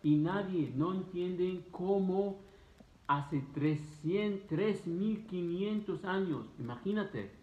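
Higher-voiced Egyptian man in an echoey room. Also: warm tone. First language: Arabic. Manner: emphatic, repeats himself, halting foreign-speaker phrasing. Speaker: vlogger answering students' questions. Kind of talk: casual explanatory talk to camera. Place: living room, indoors. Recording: roomy echo, dull muffled sound.

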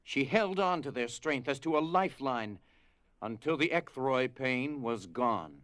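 A man reading dramatized storybook narration in a measured, solemn way. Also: mid-range voice, lively intonation, fairly bright tone, clean tone, no room sound. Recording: music behind.

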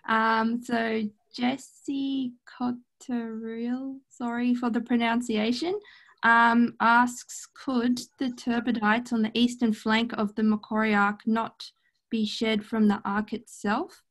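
A woman's voice: a little high, little pitch movement, takes it slowly. A woman moderating a webinar on a video call, reading out audience questions and comments.

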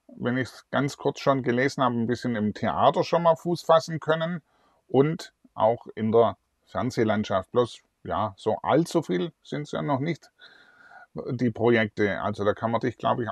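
A man's voice: medium pitch, no echo. Middle-aged man, upbeat chatty host, dry close sound. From southwest Germany. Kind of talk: online celebrity interview. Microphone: lapel mic.

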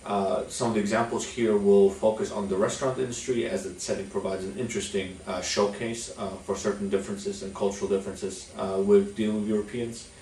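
A young man speaks in a low, deep voice, pausing often on "uh" as he explains things slowly. He sits in an office, recorded from a little way off, so the room adds a clear echo. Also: flat delivery, a little mellow. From Poland. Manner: frequent "uh" fillers, measured delivery. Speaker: chamber of commerce executive. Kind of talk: instructional talk to camera. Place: office with logo backdrop. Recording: echoey room, mic at a distance.

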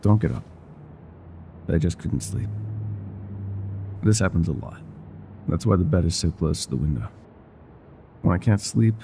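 A man playing a world-weary, gruff but secretly tender older ex-mafia bartender in an intimate, confiding audio roleplay. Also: deep voice, expressive, unhurried, long pauses, dark tone, a little hiss or hum, exaggerated voice. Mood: quiet, weary. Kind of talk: intimate ASMR roleplay monologue.

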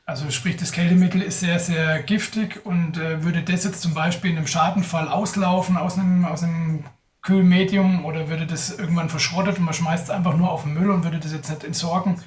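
A man's voice: on the high side, fairly even intonation, a little fast, far from the mic. A young man answering audience questions on a live video call, thoughtful, with many hesitations. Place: indoors in front of a sponsor backdrop. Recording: roomy, off-mic sound.

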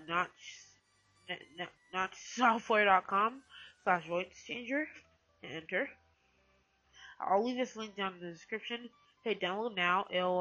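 A woman hosting a casual software tutorial in a hesitant, rambling way, often correcting herself. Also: on the low side. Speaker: tutorial host.

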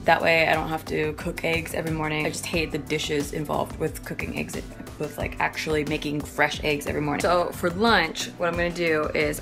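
A young woman chatting casually to a handheld camera in her home kitchen, joking and swearing offhandedly as she preps meals. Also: mid-range voice. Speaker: vlogger. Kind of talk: casual cooking vlog. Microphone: handheld phone camera at arm's length.

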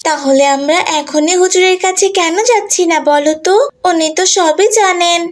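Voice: extremely high pitch, moderate pace. A performer voicing a sweet, curious little girl in a cartoon, pleading and inquisitive. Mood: eager.

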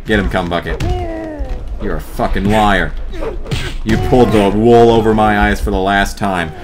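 A man doing a let's play commentary, exasperated, swearing and yelling at the game. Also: on the low side, steady tone, normal speed. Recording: commentary over loud game music. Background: game music.

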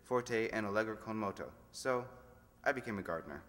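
A man's voice: fairly low pitch, brisk, fairly bright tone, lightly acted. A man playing a polite, hesitant, deferential gardener in an old-time radio comedy. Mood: wistful. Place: amateur stage reading in a hall. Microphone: stand microphone. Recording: echoey stage in a large hall.

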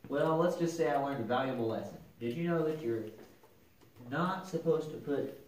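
A man's voice: on the high side, normal speed, darkish tone, close to an everyday voice. Man voicing a cheeky boy puppet, very echoey. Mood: casual, sheepish. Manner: casual, scheming, exaggerated. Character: mischievous schoolboy puppet, cheeky and stubborn. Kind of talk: children's church puppet show acting. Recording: very echoey, distant mic, noisy.

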